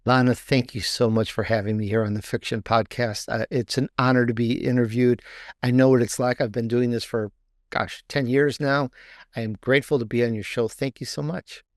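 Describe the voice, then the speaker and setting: A man's voice: medium pitch, steady tone, normal speed, no echo, clean studio sound. A man talking conversationally as an interview guest, repeating and rephrasing himself as he explains. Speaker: podcast guest, author and podcaster.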